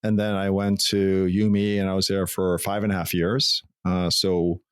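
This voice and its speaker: A man in his forties with a New York accent, a guest recounting his career in a thoughtful, measured way in an office interview. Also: low voice, level tone, smooth, no room sound, clean studio sound. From Long Island, New York, US. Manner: thoughtful, measured, occasional restarts. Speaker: guest, former CFO. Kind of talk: conversational interview.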